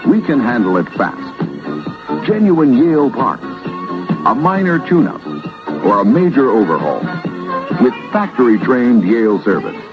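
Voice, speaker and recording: A man's voice: lowish voice, wildly swooping pitch, unhurried, pauses often. An American man reading a scripted commercial in a 1970s radio style. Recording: dull vintage radio sound. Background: music.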